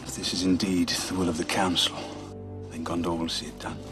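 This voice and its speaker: A proud, scornful warrior noble played by a man speaks in epic fantasy film style. His voice is on the low side, formal and intense. Loud music swells behind every word. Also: level tone, a little fast, close to an everyday voice. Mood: solemn resolve.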